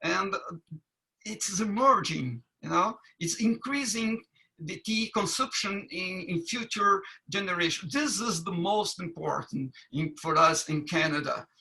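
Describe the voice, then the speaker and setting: A man giving a webinar presentation, halting with many fillers and restarts. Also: high-pitched, creaky, pushed and bright, low-quality recording. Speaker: business presenter.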